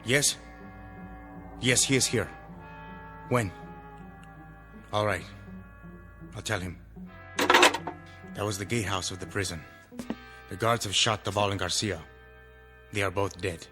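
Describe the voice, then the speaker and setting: An actor playing a proud, earnest revolutionary lieutenant in a 1960s television drama, speaking with emphatic, theatrical insistence. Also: male voice, fairly low pitch, slow, pauses often. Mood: subdued. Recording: old TV soundtrack, echoing, loud music behind.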